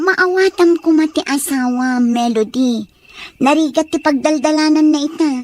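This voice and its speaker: A woman's voice: high-pitched, moderate pace, darkish tone, close to an everyday voice. A woman with a Filipino accent playing a concerned, sympathetic friend in a radio soap drama. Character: concerned, sympathetic female friend giving advice.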